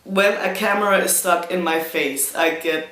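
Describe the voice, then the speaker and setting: A young Danish man with a Danish accent, answering viewer questions in a casual vlog, hesitant and self-conscious with many pauses and restarts. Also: sits high, steady tone, bright, forceful tone, echoey room. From Denmark. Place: room in front of a green screen.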